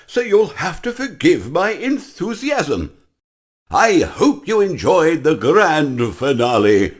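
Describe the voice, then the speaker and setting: A sinister robot showman speaks slowly in a husky voice. His pitch moves lively. It suits a horror game. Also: exaggerated voice. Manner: smooth then menacing.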